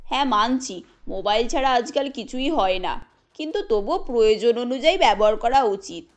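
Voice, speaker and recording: A woman voicing a gentle, concerned middle-aged mother-in-law who gives careful advice in a Bengali cartoon. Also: a little high, animated, moderate pace, voice pushed down, close to an everyday voice. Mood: gently concerned.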